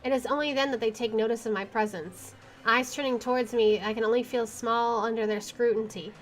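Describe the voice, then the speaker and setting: A woman's voice: on the high side, fairly even intonation, brisk, faint music behind. A woman streamer reading visual novel narration aloud, expressively, with casual chatty asides. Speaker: video game streamer.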